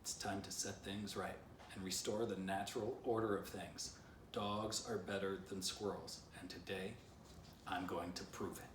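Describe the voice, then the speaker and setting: A man voicing an excitable male dog in a storybook, ranting melodramatically and indignantly. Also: sits low, normal speed, close to an everyday voice. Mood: determined, ranting. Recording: roomy echo, distant mic, faint noise.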